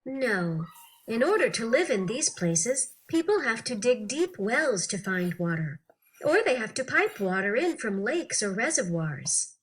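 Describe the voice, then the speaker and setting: A woman reading an interview text aloud for children in an animated, clearly phrased, teacherly way. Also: fairly high pitch, measured pace, rough recording. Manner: animated, clearly phrased, acting out questions and answers.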